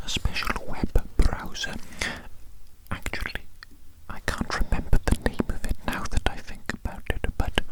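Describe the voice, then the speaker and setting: A performer voicing a polite, eerily eager alien posing as a friendly doctor, in a breathy, repetitive, coaxing whisper for an up-close ASMR roleplay. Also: close to an everyday voice, low-quality recording. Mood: friendly, musing. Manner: slow, repetitive, formal and coaxing. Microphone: very close microphone.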